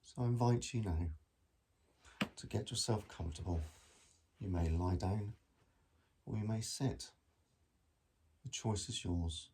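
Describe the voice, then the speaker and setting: Man, meditation teacher, mellow dark tone, gravelly creak, gentle measured phrasing, long pauses. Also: lowish voice, a little hiss or hum. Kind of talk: guided body scan meditation.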